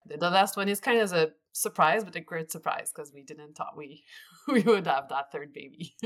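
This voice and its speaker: A woman in her forties with a Quebec French accent, a native French speaker, chatting as a podcast guest with frequent pauses and restarts. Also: lowish voice. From Quebec, Canada. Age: 40s. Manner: earnest, pauses often with fillers and restarts. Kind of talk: casual interview chat.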